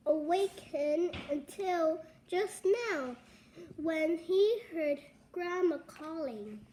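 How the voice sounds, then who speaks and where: A young girl with a very high, childlike voice reads a storybook aloud. Her pace is very slow and halting, taken word by word with small stumbles. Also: animated, mellow, a little hiss or hum, rough recording. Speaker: read-along reader.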